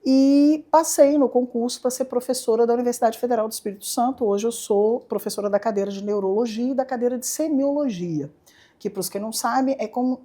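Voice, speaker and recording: Brazilian woman doctor on a studio podcast, mellow voice, jokey and chatty. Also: fairly high pitch. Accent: Brazilian Portuguese. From southeastern Brazil. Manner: lively storytelling, playful jokes. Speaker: neurologist and university professor, guest. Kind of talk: casual interview chat.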